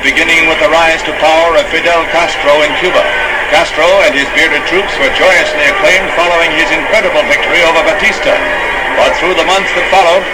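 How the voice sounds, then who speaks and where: A man's voice: on the high side, heavy background noise. An American man reading scripted 1960s newsreel narration in a formal, emphatic announcer delivery. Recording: archival newsreel soundtrack with loud music.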